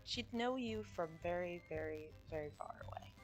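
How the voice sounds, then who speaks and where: Lowish-voiced teasing woman game streamer. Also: expressive, moderate pace. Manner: teasing, gushing. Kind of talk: casual game commentary. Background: game music.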